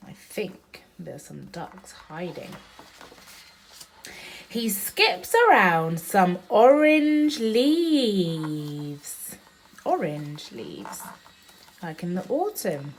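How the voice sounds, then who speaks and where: A woman's voice: lowish voice, big pitch swings, extremely slow, pauses often, slight background noise, low-quality recording. A woman reading a bedtime picture book aloud as a mother to her young child, with sing-song emphasis and chatty asides and questions about the pictures. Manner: child-directed, asking questions and pointing out pictures.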